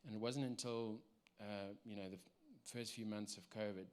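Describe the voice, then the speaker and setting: A man in his thirties with a South African accent, giving a personal church testimony in a halting way with many ums and restarts. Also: on the low side, steady tone, darkish tone. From South Africa, Cape Town.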